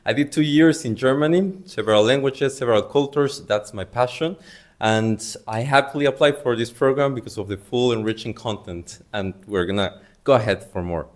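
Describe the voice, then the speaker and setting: A man's voice: mid-range voice, normal speed. A man from Mexico City with a Mexican accent, a native Spanish speaker and student, answering conversationally in short, emphatic phrases. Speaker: master's student, podcast guest.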